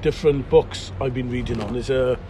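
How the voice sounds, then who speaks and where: A Welsh actor in casual podcast chat, telling rambling, animated stories. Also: male voice, medium pitch, brisk, noisy background. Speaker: actor guest.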